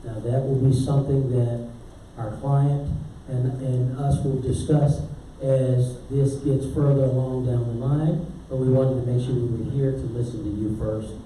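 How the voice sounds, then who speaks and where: Brief: polite developer rep, very dark mellow voice, echoey hall, distant mic. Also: male voice, medium pitch, steady tone, normal speed, slight background noise. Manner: courteous and reassuring, "yes, ma'am". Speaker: developer representative. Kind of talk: answering questions at a public meeting. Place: large community meeting hall.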